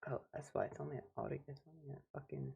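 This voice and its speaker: Female game streamer, informal and dry, very low pitch with vocal fry, loose viewer chat. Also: steady tone, rapid-fire, dull audio. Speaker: livestreamer playing a game. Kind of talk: casual gameplay chat with viewers.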